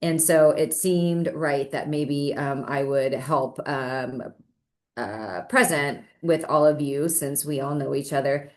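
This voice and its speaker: A woman's voice: low voice, steady tone, slow. A woman, an assistant director, introducing herself and her work to an advisory council in a friendly, chatty way with fillers, on a laptop in a meeting room. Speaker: assistant director of community services. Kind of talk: self-introduction at a public meeting. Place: meeting room, same room as a colleague. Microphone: laptop microphone.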